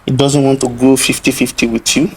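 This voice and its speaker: A man's voice: mid-range voice, flat delivery, brisk, slightly rough, rough recording. A man in a heated casual podcast debate, insistently cutting in to hold the floor and ask his questions. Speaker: podcast guest.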